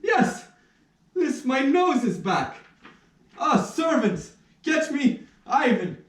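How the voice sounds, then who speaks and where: A man acts out a pompous puppet major with a high voice that swoops theatrically. He exclaims and draws his words out, excitable throughout. The sound is echoey and distant from the mic. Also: heavily acted. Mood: overjoyed. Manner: theatrical, exclaiming, drawn-out delivery. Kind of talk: puppet theatre character acting.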